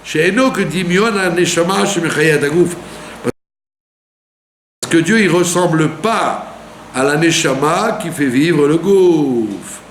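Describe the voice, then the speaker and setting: Man, rabbi, sermon, fairly high voice, lively intonation, echoey room. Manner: animated teaching, with rhetorical questions and frequent "d'accord?". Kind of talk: Torah lesson sermon. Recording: echoey room with faint hum.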